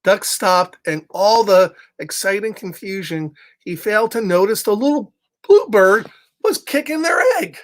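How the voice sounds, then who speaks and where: A man's voice: high-pitched, animated, measured pace. A middle-aged man reading a picture book aloud and chatting informally with viewers as a storytime host. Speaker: children's story reader. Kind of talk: storytime read-aloud with casual chat to viewers.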